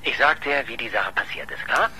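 An actor playing a pushy, sardonic reporter in a German radio crime drama, insistent and teasing. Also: male voice, mid-range voice, expressive, brisk, pushed and bright, a little hiss or hum. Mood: insistent, theorizing. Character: pushy, sardonic male reporter chasing a murder story.

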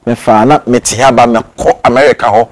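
A man's voice: medium pitch, steady tone, moderate pace. A man in his thirties with a Ghanaian accent interviewing someone, talking conversationally and mixing two languages.

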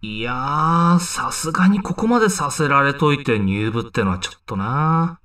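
A man playing a reluctant, flippant high-school newcomer in a comedic voice drama, protesting casually and sarcastically. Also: lively intonation, moderate pace, pushed and bright. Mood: deadpan, puzzled. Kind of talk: comedic anime-style voice drama acting. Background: background music.

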